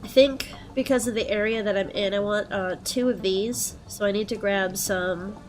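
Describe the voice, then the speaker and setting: A young woman with a head cold and a stuffed-up nose, chatting casually through gameplay and thinking out loud with plenty of ums. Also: medium pitch, moderate pace, faint music behind, low-quality recording. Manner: relaxed, thinking aloud with frequent ums. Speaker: let's play host.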